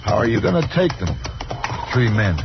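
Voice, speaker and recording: An actor playing a scheming, nervy American gambler outlaw in an old western radio drama, insistent and wheedling. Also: male voice, big pitch swings, moderate pace, gravelly. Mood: nervous, pleading. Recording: dull old radio broadcast, loud vehicle rumble. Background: loud truck engine noise.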